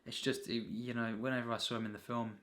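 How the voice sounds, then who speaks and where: A man giving an unscripted, rambling film review as a reviewer, in a halting, repetitive way full of filler phrases. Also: mid-range voice, flat delivery, a bit bright.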